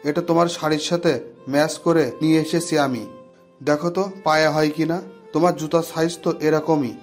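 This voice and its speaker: A man's voice: fairly high pitch, fairly even intonation, normal speed, soft background music, lightly acted. A man playing a kind but firm father-in-law in an audio story, speaking in a fatherly way. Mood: gentle, generous. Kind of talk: Bengali audio story acting.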